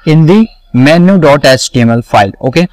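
A man walking through a coding tutorial, slowly repeating each step and answering his own questions. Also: on the high side, expressive, a little mellow, no room sound. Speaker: web development tutor. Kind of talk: screen-recorded coding tutorial.

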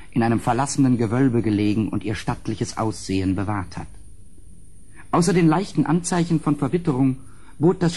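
A grave gentleman narrator in a gothic radio play. His voice is husky and of medium pitch. He speaks in solemn, measured, literary sentences, as one haunted by what he describes. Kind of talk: dramatic gothic audio drama narration.

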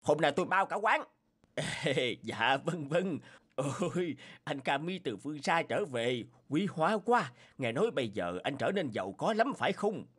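A man voicing the male characters in a dubbed cartoon folk tale, with animated, emotional delivery. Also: on the high side, measured pace. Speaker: voice actor doing all male parts. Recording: music bed under voice.

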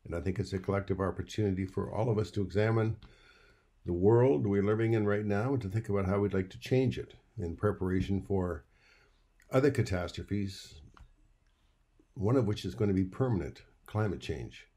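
A man in his sixties or older, a playwright giving measured, reflective remarks to camera. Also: lowish voice, mellow. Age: 60s or older. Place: wood-panelled bedroom, indoors.

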